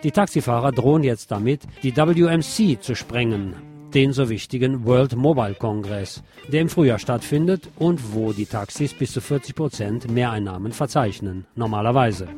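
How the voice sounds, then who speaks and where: A man's voice: mid-range voice, moderate pace, a little mellow. Man reading radio news over music. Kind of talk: scripted local news reading.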